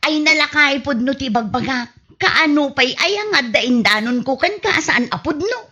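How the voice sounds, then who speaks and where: A woman's voice: sits high, expressive, normal speed, bright, forceful tone. A woman playing a gossip-loving, defiant village wife in a comedy radio drama, with exaggerated whiny retorts, on muffled audio.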